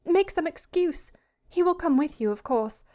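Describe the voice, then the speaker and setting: A woman voicing a frightened, pleading young daughter in an audiobook story, with a breathy, hurried, anxious delivery. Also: high-pitched, animated, warm tone, pitched above the performer's own voice. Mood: urgent, desperate. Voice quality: breathy, gasping.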